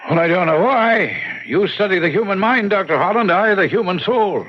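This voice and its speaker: A man playing a concerned, earnest priest in an old-time radio drama, hesitant and gently probing, on a muffled recording. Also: sits high, lively intonation, normal speed. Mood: anxious.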